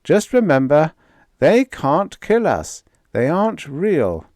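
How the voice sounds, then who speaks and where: A man voicing a desperate starship crew leader who shouts and moans under strain in a science fiction story reading. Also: fairly high pitch, very animated, slow, no echo, clean studio sound, pitched above the performer's own voice, heavily acted. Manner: urgent, shouting and moaning.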